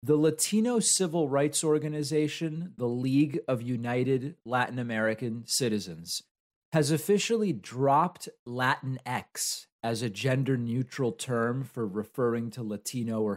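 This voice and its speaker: A man's voice: mid-range voice, a little slow. Man talking politics in a conversational tone. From Argentina. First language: Spanish. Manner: conversational, argumentative, argues with imagined objections. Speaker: political commentary host. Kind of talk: solo political commentary.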